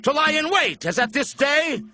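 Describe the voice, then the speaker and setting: A man's voice: very high-pitched, big pitch swings, normal speed, sharp tone, heavily acted. An actor playing a paranoid, wrathful king in a dramatized audio Bible, declaiming accusations. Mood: bitter accusing.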